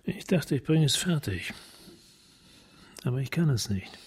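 A man playing a weary, guilt-ridden police sergeant in a radio drama, blunt, with a gravelly, husky voice. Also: medium pitch, expressive, measured pace. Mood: weary, resigned.

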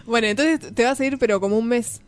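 A woman's voice: on the high side, quick pace. A woman with a Rioplatense accent hosting a casual radio interview, asking questions in an informal chatty way. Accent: Rioplatense Argentine Spanish.

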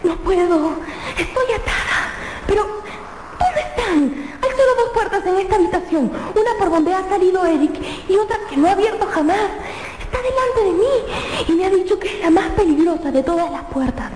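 A woman's voice: very high voice, expressive, moderate pace, a little mellow. An actress playing a frightened young captive woman in a radio drama, pleading in a breathless, melodramatic way. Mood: anxious. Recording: echoey hall, noisy background.

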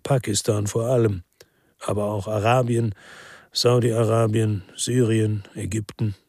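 Middle-aged ex-cop narrator in radio noir: rough-edged voice, dry sound, understated, world-weary delivery. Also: male voice, on the low side, flat delivery, a little slow, darkish tone, no room sound. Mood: tense, matter-of-fact. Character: world-weary male ex-cop narrating his crime story. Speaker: narrator and lead character. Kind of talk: first-person crime radio drama narration.

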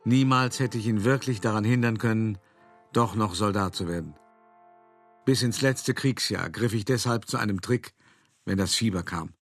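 An actor playing a self-important older art dealer who tells of his past in an expressive, articulate, wry monologue for a radio play. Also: fairly low pitch, normal speed, no room sound, faint music behind. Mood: wry narration. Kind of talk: theatrical first-person monologue, storytelling.

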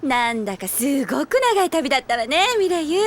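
High-pitched haughty young sorceress. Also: female voice, sing-song, a little fast. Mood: boastful. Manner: imperious, theatrical, exclamatory. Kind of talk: Japanese comedic audio drama acting.

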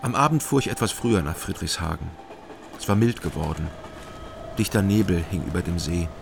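An actor playing a wary East German night watchman and investigator in a German radio crime drama, with gravelly dialogue and first-person narration. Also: low-pitched, a little fast, background noise. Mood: sober narration.

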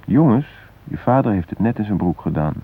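An actor playing a frightened hostage father in a radio play, musing in a halting, wry, vulnerable way. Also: fairly low pitch, lively intonation, husky. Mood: wry, afraid. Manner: reflective, halting, wryly self-mocking. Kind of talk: radio drama interior monologue and dialogue. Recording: muffled phone-like band.

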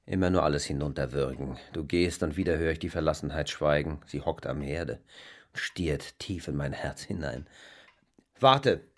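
An actor playing a lonely, resigned lover in a German radio play, melancholy and declamatory. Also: male voice, extremely low pitch, moderate pace. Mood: lonely, brooding. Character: forlorn, brooding, resigned lonely husband or lover.

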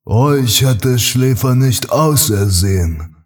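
Fantasy radio play: imperious temple warrior leader voiced by a man, booming proclamations with big pitch swings, recorded at a distance. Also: lively intonation, slow, slightly rough. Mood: stern proclaiming. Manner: thundering, authoritative proclaiming. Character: commanding adult male temple guard leader, stern and imperious. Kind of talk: fan audio drama fantasy acting.

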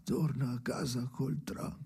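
A man playing a haunted, brooding storyteller in an experimental radio drama, speaking in halting, repeated fragments with a creaky voice that often drops to whispers. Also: medium pitch, steady tone, background noise. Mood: brooding, uneasy. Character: haunted brooding man narrating memories alone by the sea.

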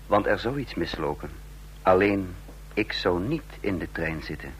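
A man playing a sardonic, commanding chief of secret agents in a radio play, brisk and teasing as he gives orders. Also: deep voice, expressive, slight background noise. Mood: sarcastic. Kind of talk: Dutch radio play acting.

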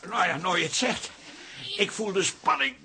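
An actor playing a fussy, anxious, grumbling café-owner husband in a radio comedy drama, fretful in manner. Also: male voice, high voice, expressive, moderate pace, pushed and bright, a little hiss or hum, full character voice. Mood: grumbling, worried.

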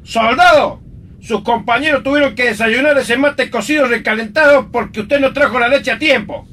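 A man's voice: normal speed, sharp tone, full character voice. A man playing a stern, scolding wartime army sergeant in a radio play, barking orders. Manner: barking, commanding. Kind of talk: amateur radio play acting. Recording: noisy, distant mic with rumble.

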